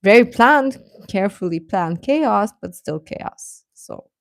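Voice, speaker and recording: Animated woman chatting, close and dry. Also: medium pitch, slow, creaky, dry recording. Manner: animated, giggly, rambling. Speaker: livestream host chatting with viewers. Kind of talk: casual reaction chat.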